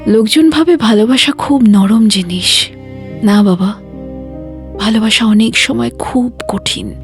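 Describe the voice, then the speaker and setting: Woman acting a weary devoted daughter, slow-spoken. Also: fairly high pitch, darkish tone, natural voice. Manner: slow, confiding, emotional delivery addressed to her father. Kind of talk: intimate audio drama monologue.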